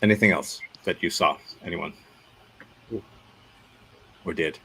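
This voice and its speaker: Low-voiced man teaching an improv class. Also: slow pace, creaky, a bit bright, slightly rough. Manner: rambling, self-correcting, upbeat. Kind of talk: casual workshop feedback and instruction.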